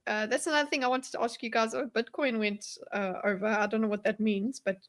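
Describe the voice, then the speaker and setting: A woman's voice: a little high, steady tone, fast. A woman hosting an online panel in casual chat, with an inquisitive way of talking. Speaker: host of an online panel show.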